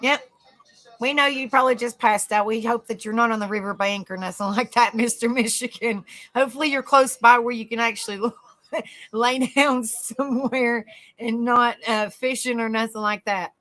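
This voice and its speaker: A woman hosting a casual livestream, chatting softly with viewers by name and reading notes aloud. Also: a little high, normal speed. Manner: soft, easygoing, chats with viewers by name. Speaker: livestream host.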